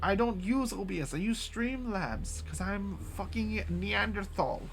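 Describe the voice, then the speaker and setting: Rambling, swearing streamer man, slightly high. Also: lively intonation, unhurried, creaky, slight background noise. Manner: rambling, swears often, frequent restarts. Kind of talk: casual live-stream chat.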